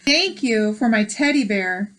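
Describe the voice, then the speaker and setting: Casting: American woman as read-aloud host, slightly high voice, encouraging, explains things simply between lines. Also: animated, unhurried, fairly bright tone, slight background noise. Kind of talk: reading a picture book aloud with chatty asides.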